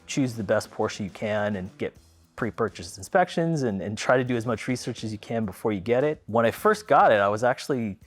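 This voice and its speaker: A man's voice: lowish voice, lively intonation, brisk, faint music behind. A man in his thirties, born in the Philippines and raised in Los Angeles, casually telling the story of his car, rambling with many fillers and restarts. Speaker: car owner.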